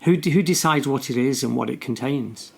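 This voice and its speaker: Guy cohosting a podcast, deadpan jokes, some fry in his voice. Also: mid-range voice, fairly quick. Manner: dry, teasing humor. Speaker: podcast co-host. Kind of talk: casual conversational chat.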